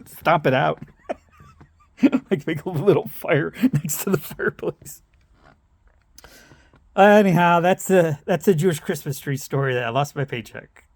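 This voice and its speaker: Middle-aged man, gravelly, dry close sound; rambling anecdote teller who loses his own thread. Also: fairly high pitch, measured pace, long pauses, no room sound. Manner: meandering, self-interrupting, laughs at himself. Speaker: storyteller.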